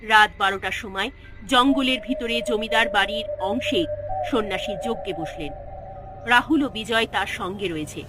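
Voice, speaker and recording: A woman narrating a scripted horror story in a dramatic, expressive storytelling style. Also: mid-range voice, normal speed. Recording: music under the voice.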